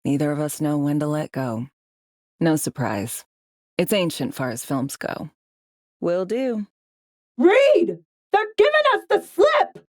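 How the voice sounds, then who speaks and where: A low female voice, recorded close and dry, plays a worldly spy for a video game. She talks in a clipped, slangy way, with a sardonic edge and the weariness of someone long in the field. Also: wildly swooping pitch, slow, pauses often, dry recording, full character voice. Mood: wistful, then urgent shouting. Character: world-weary, wry female undercover spy in a dystopian city. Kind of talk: video game voice acting, conversational dialogue.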